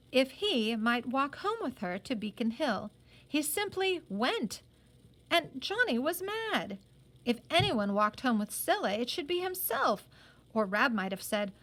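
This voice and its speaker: A woman narrating a storybook aloud in a high voice. Her melody swings widely, and she phrases each sentence dramatically and with clear emphasis, as a read-aloud teacher. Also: fairly bright tone.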